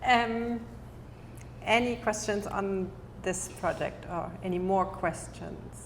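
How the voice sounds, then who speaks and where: A woman moderating a panel talk, asking measured questions and summing up what was said. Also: medium pitch, a little hiss or hum. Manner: measured, asks questions, sums up. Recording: echoey hall, faint ambience.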